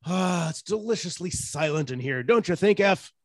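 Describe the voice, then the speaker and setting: A man's voice: high voice, expressive, normal speed, dry recording, studio quality. A man playing a brash, wisecracking hard-boiled private detective in 1940s radio style, theatrical and sardonic. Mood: brash, indignant.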